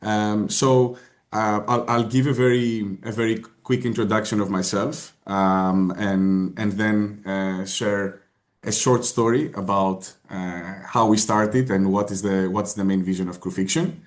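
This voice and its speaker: A man's voice: lowish voice, fairly even intonation, a little slow. A man from Greece with a Greek accent, presenting in a webinar over a video call, talking hesitantly with many filler sounds and restarts. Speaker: guest presenter.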